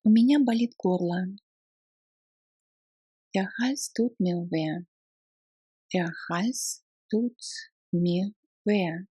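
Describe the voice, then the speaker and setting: A woman reading short phrases for beginners, repeating each one slowly in careful chunks. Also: medium pitch, dark tone, slightly rough, dry recording, clean studio sound. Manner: slow, careful phrase-by-phrase repetition. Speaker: language lesson reader.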